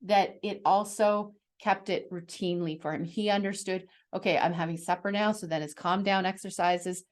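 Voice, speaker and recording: A middle-aged woman explaining things conversationally as a co-host, in a measured, practical way. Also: fairly low pitch, fairly even intonation. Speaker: parent sharing parenting strategies as co-host.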